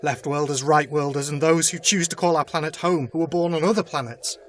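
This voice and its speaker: A man's voice: fairly high pitch, fairly even intonation, a little fast, natural voice. A man playing a humble soldier turned leader in an audio drama, solemn and oratorical. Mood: hopeful. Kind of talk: fan audio drama acting, speechmaking.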